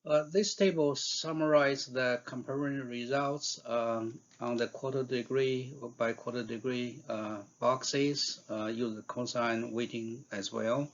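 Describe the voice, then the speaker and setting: A man giving a scientific conference talk in a halting way, with frequent hesitations and fillers. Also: medium pitch, steady tone, lo-fi sound. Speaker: researcher giving invited talk.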